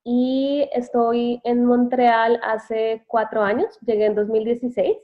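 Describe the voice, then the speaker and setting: A woman's voice: on the high side, little pitch movement, takes it slowly. Woman on a tinny call line. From Bogotá, Colombia. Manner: thoughtful, with hesitations and self-corrections. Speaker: interview guest, immigrant. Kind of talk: casual interview answers. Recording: tinny phone-like call audio.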